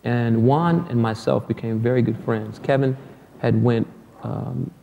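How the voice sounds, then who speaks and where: A middle-aged man from Detroit tells stories on stage, his speech loose, joking and salted with profanity. His tone is mellow and dark. The sound rings with the long reverb of a hall. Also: medium pitch, steady tone, measured pace, creaky, slight background noise. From Detroit, USA. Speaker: guest musician. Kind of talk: lecture interview on stage. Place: hall with an audience.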